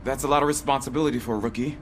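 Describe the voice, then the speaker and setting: Man as eager young male rookie cop, medium pitch, polite, deferential, video game, noisy background. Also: racing, natural voice. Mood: hesitant. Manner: earnest, polite.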